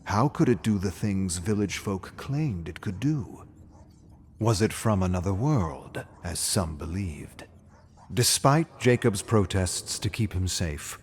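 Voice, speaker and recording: A man narrating a scripted fairy tale as a storyteller, with a dramatic, measured delivery. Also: on the low side, a little mellow, slight background noise.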